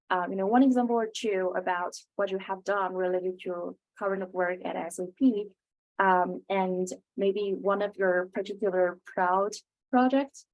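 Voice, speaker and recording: Young woman, interviewer, mid-range pitch, prepared hosting script, question-led delivery. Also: a little mellow, poor audio. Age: young adult. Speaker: interviewer, student host.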